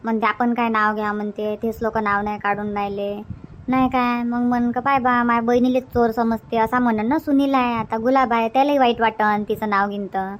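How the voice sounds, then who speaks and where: A woman's voice: fairly high pitch, little pitch movement, a little fast, a little hiss or hum, lightly acted. A woman voicing a gossipy, suspicious village aunt in a cartoon comedy, speaking emphatically in Vidarbha Marathi with rhetorical questions.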